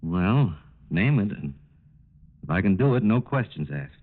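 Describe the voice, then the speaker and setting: A thin, phone-like vintage radio recording carries a lowish-voiced American working man. He is folksy and plain-spoken, eager to help yet hesitant and puzzled, in the manner of 1940s radio drama. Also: sing-song, a little slow. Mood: agreeable.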